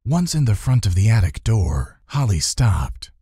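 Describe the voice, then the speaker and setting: Low, husky male storybook narrator. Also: sing-song, measured pace, warm tone, no echo, clean studio sound. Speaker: audiobook narrator. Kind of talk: scripted children's fantasy narration.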